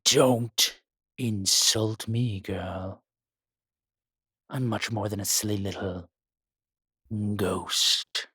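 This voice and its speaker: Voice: low-pitched, steady tone, drawn-out words, pauses often, fairly bright tone, husky, dry recording, clean studio sound, full character voice. An actor playing an ancient, powerful spirit, sardonic, proud and condescending, in an audio roleplay drama. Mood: haughty, menacing.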